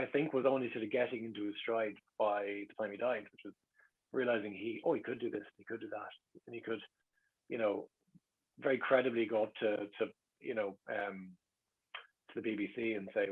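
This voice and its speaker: A man's voice: fairly low pitch, breathy edge. An Irish man telling anecdotes in casual chat as a guest, with hesitant starts and repeated words. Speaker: interview guest. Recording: muffled, lo-fi voice track.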